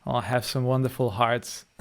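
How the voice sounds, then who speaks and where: A man with a Polish accent, a meditation teacher leading a guided meditation and a live-stream chat, speaking to listeners in short, direct phrases. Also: mid-range voice.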